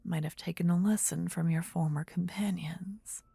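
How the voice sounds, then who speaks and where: Lady doing a demon queen for asmr roleplay, whispery n breathy, super close dry sound, grand wording, possessive and doting but wrathful. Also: lowish voice, steady tone, warm tone, no echo, full character voice. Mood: tender, worried. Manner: slow, theatrical, archaic grand phrasing. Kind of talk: ASMR fantasy roleplay acting.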